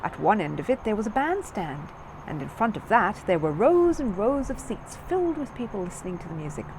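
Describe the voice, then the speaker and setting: A woman's voice: medium pitch, very animated, fairly quick, noisy background. A woman narrating a children's story from a script as a storyteller.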